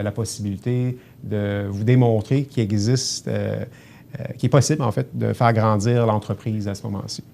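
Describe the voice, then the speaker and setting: A middle-aged man from Abitibi speaks with a Québécois accent in a slightly low voice. He talks earnestly but haltingly, filling his pauses with hesitations as he explains his work. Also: gravelly, mellow. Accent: Québécois French. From Abitibi, Quebec. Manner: earnest, many hesitations and "euh" fillers. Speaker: grocery store general manager, guest. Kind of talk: casual TV interview.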